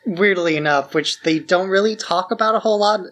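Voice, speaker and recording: A man's voice: high-pitched, fairly quick, a bit bright. A man co-hosting a podcast, giving his opinions on films in an informal, conversational way. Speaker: podcast co-host. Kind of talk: casual film discussion podcast.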